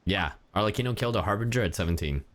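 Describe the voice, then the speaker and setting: Male game streamer, casual and slangy reaction commentary, very lively varied pitch, animated delivery. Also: racing.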